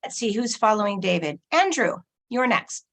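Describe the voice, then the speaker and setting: A woman's voice: mid-range voice, sing-song, moderate pace, a bit bright. A woman hosting an online literary reading, speaking informally in a reassuring, organising way. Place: video call.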